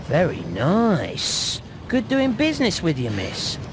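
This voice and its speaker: A man playing a cheeky, shifty rat salesman in an animated game, with oily, theatrical patter. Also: a little high, slow, noisy background, full character voice. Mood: wheedling, haggling. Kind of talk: animated video game voice acting.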